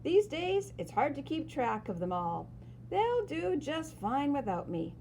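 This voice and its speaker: A woman plays a storybook superhero man who is stepping down. The delivery is breezy and sure of itself, with a teasing lilt and a mellow tone. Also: sits high, sing-song, unhurried, vocal fry, a little hiss or hum, voice pushed up, full character voice. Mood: breezy, assured. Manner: breezy, self-assured, teasing. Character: confident, easygoing male superhero retiring from the job.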